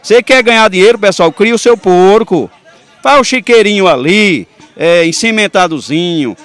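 A man's voice: high voice, animated, moderate pace, fairly bright tone, smooth. A middle-aged man, hosting roving interviews at an outdoor livestock market with a handheld mic, folksy and upbeat. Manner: folksy, upbeat, addresses viewers directly. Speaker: host.

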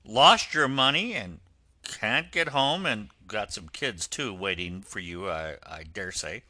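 A man's voice: fairly low pitch, animated, slow pace, dry recording, heavily acted. A man doing a hearty burly engine driver. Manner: hearty and loud, rustic turns of speech. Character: burly, kindly male engine driver from the country.

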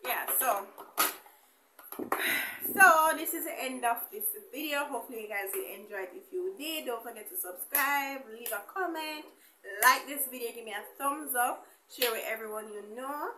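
A young woman chatting casually to camera as a beauty vlogger, animated and colloquial, addressing viewers familiarly. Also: high voice, normal speed, reverberant, a little hiss or hum, poor audio. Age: young adult. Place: small indoor room with bare wall.

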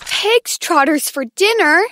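Plump fretful girl pig for a kids story, super high and slow. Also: animated, bright, forceful tone, no echo, clean studio sound, full character voice. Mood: worried. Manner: oinking, fretful. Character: plump female pig, polite but easily worried. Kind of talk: children's storybook character voice.

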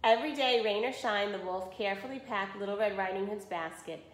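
A woman's voice: medium pitch, fairly quick. Woman teacher in a roomy space. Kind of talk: read-aloud and casual lesson for children. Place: small indoor room at home. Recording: roomy home video recording.

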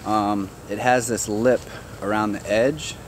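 Man, lower voice, casual backyard tour, outdoors, chatty and joking, faint insect hum behind. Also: slow pace. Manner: relaxed, chatty, jokes with his animals. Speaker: gardener giving a backyard tour. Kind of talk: casual walk-through narration.

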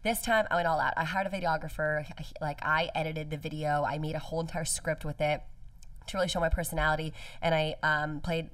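A woman's voice: low voice, fairly even intonation, a little fast, fairly bright tone, no echo, distant mic. A woman in her late twenties, a podcast guest, chatting casually and earnestly about her career, with many "like" fillers. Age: 20s. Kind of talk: casual interview chat. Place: podcast studio with window. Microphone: studio mic on stand, headphones.

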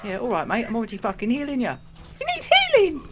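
A man's voice: very high voice, wildly swooping pitch, fast, heavy background noise. Laughing young man gaming with friends. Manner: laughing, joking, swearing. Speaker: gamer playing with friends. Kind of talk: casual gaming chat. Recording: game music in background.

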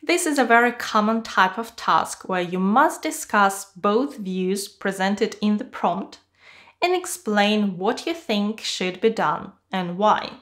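Woman, 40s, from Kazakhstan, non-native English speaker; clear, upbeat exam tutor recorded in a small home studio. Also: medium pitch, expressive, slow, a bit bright. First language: not English. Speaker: IELTS teacher. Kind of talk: scripted video lesson.

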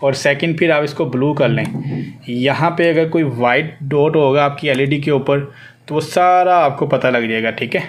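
A man's voice: on the high side, moderate pace. A man giving an unscripted, step-by-step tech tutorial, instructing and repeating phrases as he demonstrates. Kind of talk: hands-on spoken tutorial, unscripted. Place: indoors at a desk with a laptop. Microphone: handheld phone behind the camera. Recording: clearly noisy homemade phone recording.

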